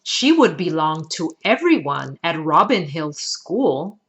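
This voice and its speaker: A woman voicing a kind, gentle first-grade schoolteacher in a children's storybook. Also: low voice, very animated, takes it slowly, muffled recording, exaggerated voice. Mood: kind, reassuring.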